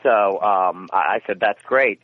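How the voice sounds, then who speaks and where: A man's voice: fairly low pitch, unhurried, no echo. Man on a tinny phone line. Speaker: interview guest, radio theatre producer. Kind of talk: casual interview.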